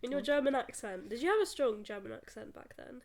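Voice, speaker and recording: A woman's voice: fairly high pitch, big pitch swings, darkish tone. Young woman, slangy and casual. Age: young adult. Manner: casual, says "like" and "bloody", reacts with gasps and quick remarks. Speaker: podcast co-host, daughter. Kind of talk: casual family chat.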